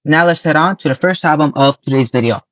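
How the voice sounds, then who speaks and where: A man talks through album reviews with a hoarse, worn-out voice pitched a bit high. He reads quickly from his notes and corrects his own slips. The sound is close and dry, with no room echo. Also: a bit bright. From Nevada, US. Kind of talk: casual scripted review.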